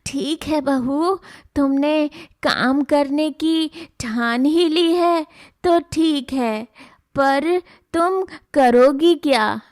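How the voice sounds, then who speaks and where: A woman voicing an elderly, gentle and caring mother-in-law who speaks slowly and softly. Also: sits high. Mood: comforting. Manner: slow, soft, comforting. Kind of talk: cartoon voice acting.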